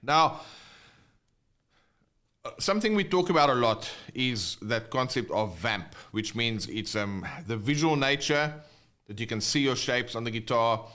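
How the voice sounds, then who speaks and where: A man's voice: medium pitch, expressive, a little slow, sharp tone. A man with a South African accent who speaks English as a second language, hosting a casual live guitar lesson and chatting with viewers. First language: not English (English is second language). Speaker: guitar teacher, livestream host.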